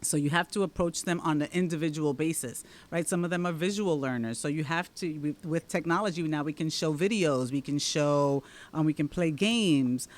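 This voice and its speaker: A woman's voice: low voice, normal speed, hardly any pauses. A woman from the Dominican Republic with a Dominican accent, a guest answering interview questions in an explanatory way, often checking in with "right?". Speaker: guest, township committeewoman and teacher.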